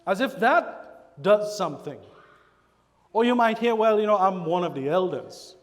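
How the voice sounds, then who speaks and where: A Trinidadian man preaching in a church, his voice sitting high for a man. He is animated and slips into quoted voices of congregation members. The sound carries a long echo from the hall. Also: a little slow. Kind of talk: sermon. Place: church sanctuary.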